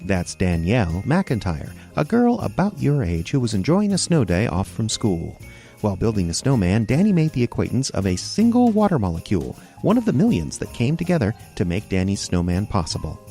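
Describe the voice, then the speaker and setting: A man's voice: mid-range voice, warm tone. A man reading scripted narration and announcements for a children's radio play.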